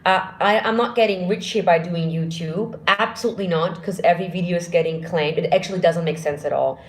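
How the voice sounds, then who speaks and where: A woman, a vocal coach hosting a casual live Q&A, chatty and thinking aloud as she goes. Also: brisk. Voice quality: deep voice. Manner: chatty, thinks aloud with restarts, laughs often. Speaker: vocal coach and channel host. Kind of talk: casual live-stream Q&A answering chat.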